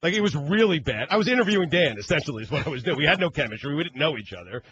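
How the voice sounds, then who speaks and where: A man's voice: on the high side, sing-song, rapid-fire, a bit bright, breathy edge, dry recording, muffled recording. Chatty joking male radio co-host. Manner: joking, rambling storyteller. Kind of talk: casual sports radio chat.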